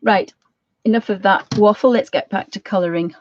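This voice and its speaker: A middle-aged British woman with a slightly croaky voice, giving a casual craft tutorial in a rambling, homely way, thinking aloud as she goes. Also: mid-range voice, moderate pace, a little mellow, no room sound, lo-fi sound. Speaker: watercolour craft tutor hosting a live session.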